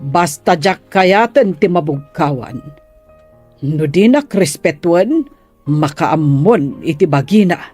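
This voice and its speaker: A resigned, wary Ilocano man in a radio drama. He speaks slowly in a high, mellow voice with wide swings of pitch. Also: expressive, slightly rough, faint music behind, exaggerated voice. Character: resigned, deferential man wary of being scolded.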